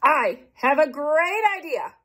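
A woman's voice: fairly high pitch, big pitch swings, measured pace. A woman voicing Kansas, a glum, bored male state who moans and complains in a storybook. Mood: eager. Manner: moaning, complaining.

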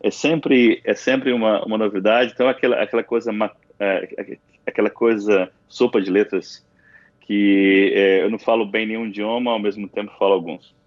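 A man with a Brazilian Portuguese accent, speaking as a guest in a relaxed interview. His voice sits somewhat low, and he rambles with many restarts and fillers. The recording is dry and a little dull, like a lo-fi line. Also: normal speed, dry recording. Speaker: interview guest, university alumnus. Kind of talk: casual interview chat.

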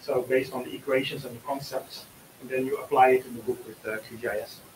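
A man answering questions as an author and teacher in a book discussion, often pausing mid-sentence. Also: mid-range voice, fairly even intonation. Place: room with an audience, on a video call. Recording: roomy, distant mic with faint hiss.

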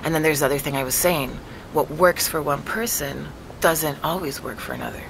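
A woman playing a measured, matter-of-fact neurologist who explains things in a naturalistic audio drama. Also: low-pitched, gravelly, noisy background. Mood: skeptical.

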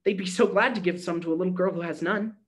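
Eager little girl voice, high and bouncy, all hopeful and pleading, guy putting it on. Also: quick pace, close to an everyday voice. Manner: bright, excited, breathless pleading.

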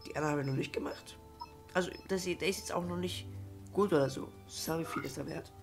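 A man's voice: fairly high pitch, quick pace, creaky, soft background music. A young man with a cold, rambling in casual let's-play commentary with many fillers and restarts. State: has a cold. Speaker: gaming YouTuber.

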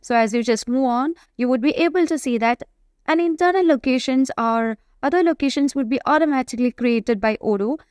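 A woman giving explanatory software tutorial narration, speaking in a halting, semi-improvised way. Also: high voice, no echo, studio quality. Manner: explanatory, halting delivery with frequent hesitations.

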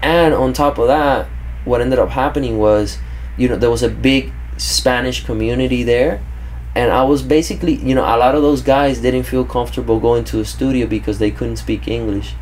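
Man, thirties, podcast host, easygoing chat, studio boom mic, faint background noise. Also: moderate pace, rough recording. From grew up in Kentucky, born in Cuba. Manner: relaxed, says "bro" often. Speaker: podcast host and tattoo artist. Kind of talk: casual interview chat. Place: indoor studio with leather couch. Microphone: studio mic on boom arm.

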